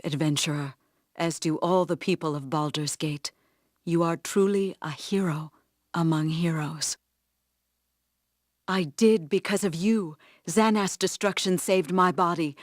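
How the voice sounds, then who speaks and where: A woman playing a solemn, dramatic character in a fantasy video game, with halting delivery. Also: fairly low pitch, no room sound, studio quality, close to an everyday voice.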